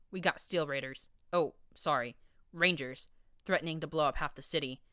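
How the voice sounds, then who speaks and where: Harsh grating male pony radio host, loud scornful tirade, performed by a woman, bright crisp tone. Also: low voice, no echo, clean studio sound.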